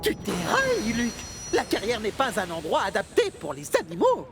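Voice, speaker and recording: A woman's voice: mid-range voice, huge pitch swings, normal speed, background noise. A woman voicing a sensible, sceptical quarry engine in a French children's cartoon, matter-of-fact and admonishing. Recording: music behind.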